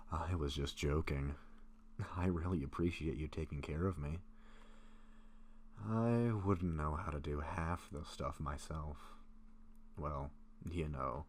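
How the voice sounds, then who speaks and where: A man acting a gentle, bashful, sick vampire in an intimate roleplay audio, speaking softly and haltingly to a close friend. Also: rumbling low, a little hiss or hum. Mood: playful, grateful. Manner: soft-spoken, hesitant, halting with pauses.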